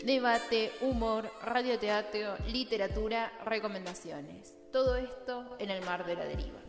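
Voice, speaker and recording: A woman's voice: medium pitch, unhurried. A woman reading a scripted podcast introduction as a presenter. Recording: echoey long reverb under loud music. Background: loud music.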